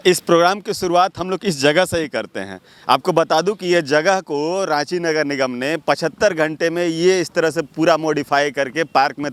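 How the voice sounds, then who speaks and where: Male presenter, formal and polished, clear and slightly high voice, for hosting interviews. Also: a bit bright. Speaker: show host, interviewer.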